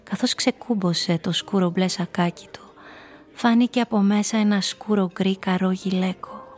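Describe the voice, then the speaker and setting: A cool, seductive femme fatale, played by a woman telling her story in a radio play. She is controlled and intimate, with little rise and fall in a mid-pitched voice, over faint music. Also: steady tone, darkish tone, natural voice. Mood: self-satisfied, seductive. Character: seductive, cool, calculating woman narrating her own hunt. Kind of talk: first-person radio drama narration.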